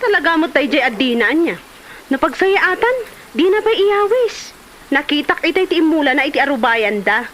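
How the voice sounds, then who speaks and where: A woman's voice: high-pitched, sing-song, normal speed, a bit bright, slight background noise, poor audio. A woman with an Ilocano Filipino accent acting a chatty, envious village wife in a comedy drama. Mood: sulky, complaining.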